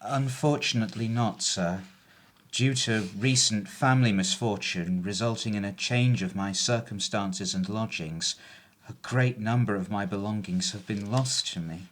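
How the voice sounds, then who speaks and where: A man's voice: fairly low pitch, moderate pace, a bit bright, close to an everyday voice. A man playing a polite young errand boy. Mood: earnest. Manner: formal and deferential, ends lines with sir. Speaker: actor. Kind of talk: amateur stage play audio.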